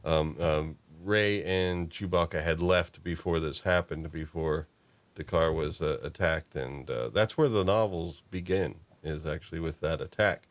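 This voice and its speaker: A man hosting a casual chat, talking haltingly and circling back mid-thought. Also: very deep voice. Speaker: podcast host. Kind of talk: casual chat about fan fiction and films.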